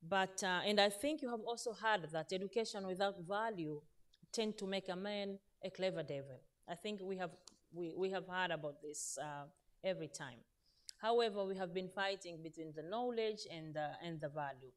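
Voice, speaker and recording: A woman, a veteran teacher speaking earnestly on a conference panel, with many fillers and self-corrections. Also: mid-range voice. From Zanzibar, Tanzania. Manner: earnest, addresses audience directly, frequent fillers and self-corrections. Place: conference stage in Turkey.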